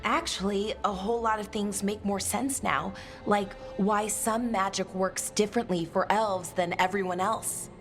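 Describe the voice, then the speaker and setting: A woman's voice: medium pitch, steady tone, measured pace, few pauses, faint music behind, lightly acted. A woman playing a curious, thoughtful elven scholar in a video game, informal and inquisitive. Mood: surprised curiosity. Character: curious, thoughtful female Dalish elf scholar. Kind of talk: video game dialogue acting.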